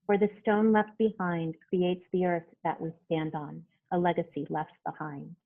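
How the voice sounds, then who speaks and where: A woman artist reading her poem aloud, then explaining her work in a halting, casual way over a video call. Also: on the low side, fairly even intonation. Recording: phone-like video call audio.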